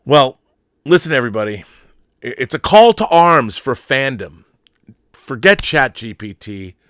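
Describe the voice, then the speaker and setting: A man's voice: medium pitch, big pitch swings, a little slow, fairly bright tone, no room sound, clean studio sound. A middle-aged American man hosting a casual, opinionated livestream, rambling with enthusiasm and emphasis. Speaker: YouTube show host and filmmaker.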